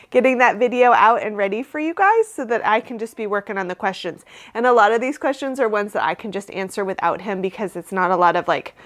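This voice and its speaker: A woman in her thirties from Oklahoma, a flower farmer hosting her channel in a casual, upbeat, chatty way with many fillers, a little sniffly from a cold. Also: mid-range voice. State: heavily pregnant. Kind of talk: casual talk to camera.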